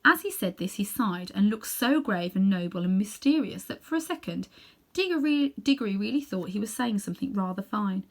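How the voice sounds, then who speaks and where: A woman reading a children's novel aloud as an expressive storyteller. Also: medium pitch, fairly quick. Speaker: storybook reader.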